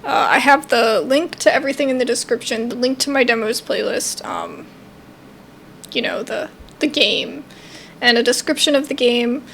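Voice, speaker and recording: High-pitched, animated young woman gamer. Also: moderate pace, gravelly, a little hiss or hum. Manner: chatty, informal, thinking aloud. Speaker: gaming video host. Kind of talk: casual game commentary. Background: faint game music.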